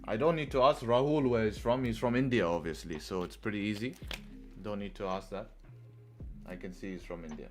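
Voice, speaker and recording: A man from England hosting a live trading stream, chatting casually, repeating phrases and answering questions from chat. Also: mid-range voice. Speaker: livestream trading host.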